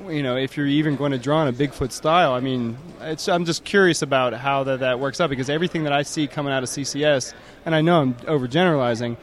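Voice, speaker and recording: A man interviewing a young comics artist in a casual, teasing way, rambling with many fillers, recorded outdoors. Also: medium pitch.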